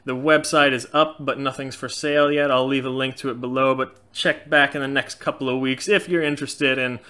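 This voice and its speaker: A man in his thirties with a light Norwegian accent answers viewer questions in a casual, outspoken way. His voice is bright and crisp. He sits close to a webcam in a small indoor room. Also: mid-range voice, a little fast. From Norway. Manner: frank, animated, gestures a lot. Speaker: channel host. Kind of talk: casual Q&A chat.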